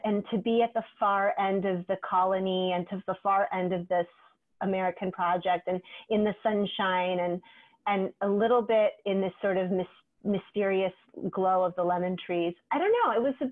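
A woman's voice: mid-range voice, moderate pace. A woman in her forties from California, a poet talking animatedly from a room with bookshelves over a video call. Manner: animated, gestures with hands, reflective. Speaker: poet, guest. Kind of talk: casual conversation. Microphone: clip-on mic over video call. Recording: thin phone-like video call audio.

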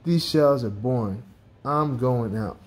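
A reader voicing a greedy, excitable male packrat from a children's storybook, theatrical and exclamatory, whiny when upset. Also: mid-range voice, slow pace, slight background noise, lightly acted. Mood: bored, annoyed.

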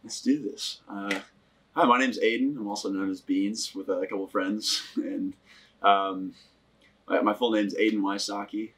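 A man's voice: on the low side, steady tone, a little slow, a bit bright, breathy edge. A young man from Michigan speaking earnestly, searching for his words as he explains himself. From Grand Rapids, Michigan, US. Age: young adult. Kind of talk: casual sit-down interview. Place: wood-paneled cabin living room.

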